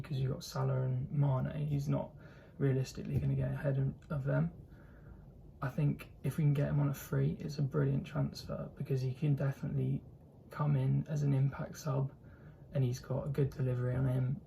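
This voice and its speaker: A man's voice: mid-range voice, creaky, dark tone, slight background noise, rough recording. A young man with a posh southern English accent hosting his own football channel, talking casually and hesitantly with lots of fillers and restarts. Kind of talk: casual solo commentary.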